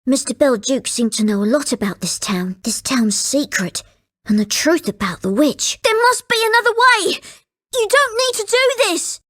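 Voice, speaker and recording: Young boy, gentleman's apprentice in a video game: very high voice, lively swings in pitch, earnest and well-mannered. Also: moderate pace. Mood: grave, narrating, then excited, urgent. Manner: earnest, polite, excitable.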